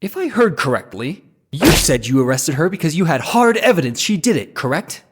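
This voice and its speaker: Earnest lawyer in long reverb. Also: male voice, a little high, sing-song, fairly quick, a little hiss or hum. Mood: assertive. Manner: dramatic, alternating asides and courtroom declarations. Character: earnest male defense lawyer, uncertain but determined. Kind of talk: video game dub voice acting.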